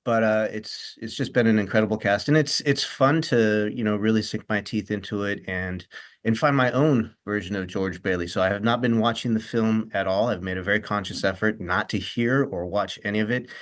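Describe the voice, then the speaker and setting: A man's voice: on the low side, brisk. A man who acts on stage, chatting in an interview in an earnest, reflective way. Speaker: stage actor, guest. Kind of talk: interview chat about a stage role.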